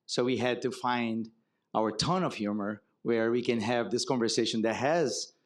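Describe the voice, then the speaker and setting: A man from Brazil with a Brazilian accent speaks as the guest in an onstage fireside chat before an audience. He explains with examples in a relaxed way and often adds a wry, humorous turn. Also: medium pitch, moderate pace. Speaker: marketing executive guest. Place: conference stage before an audience.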